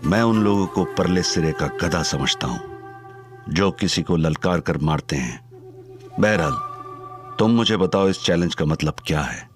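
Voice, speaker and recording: An actor playing a menacing, calm older male gangster in an audio drama, speaking in a cold, sardonic way. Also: low voice, a little slow. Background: music score.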